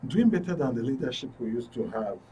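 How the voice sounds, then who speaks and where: A man's voice: mid-range voice, very animated, fast, mellow, recorded from a distance, slight background noise. A man with a Nigerian accent hosting a live political discussion, arguing emphatically and repeating words for stress. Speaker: talk show host. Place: radio and TV studio.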